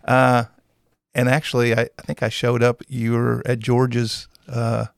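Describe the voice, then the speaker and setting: A man's voice: mid-range voice, monotone, a little slow, vocal fry, dry recording, studio quality. A man, hosting a casual local radio interview in a folksy, teasing way. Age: 60s. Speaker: radio show host. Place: small radio studio.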